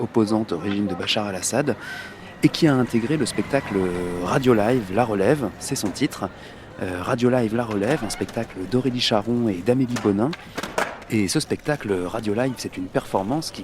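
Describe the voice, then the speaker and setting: A man introducing an interview as a radio reporter, announcing it phrase by phrase with some halting. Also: fairly low pitch, background noise. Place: outdoors on a city street.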